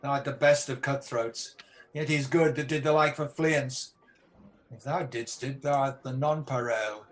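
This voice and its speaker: A man's voice: medium pitch, fairly even intonation, unhurried, lightly acted. An amateur actor playing a guilt-haunted tyrant king with a hospitable surface in a Shakespearean radio play. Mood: hushed, conspiring.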